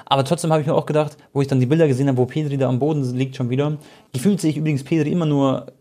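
Young male football co-host, rapid informal delivery, relaxed mellow timbre, colloquial slang. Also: mid-range voice. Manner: colloquial, slangy youth speech. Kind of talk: casual chat about football.

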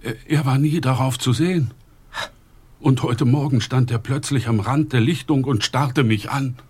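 An actor playing a haunted, secretive man in a forest in a radio drama, speaking in a hushed, ominous way with a husky voice. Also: medium pitch, moderate pace, lightly acted.

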